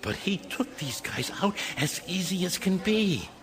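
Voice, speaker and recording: A man playing an excitable, folksy barkeeper with chatty, colloquial delivery in an audio drama. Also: high voice, a little slow. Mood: awed gossip. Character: excitable, folksy male cantina barkeeper, common and indignant.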